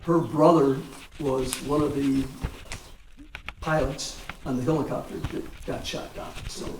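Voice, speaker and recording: An American man in his seventies, a war veteran reminiscing informally in a halting, rambling way with frequent pauses. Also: medium pitch, steady tone, darkish tone. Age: 70s. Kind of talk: informal reminiscence at a public talk. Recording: echoey, distant mic, clear background noise.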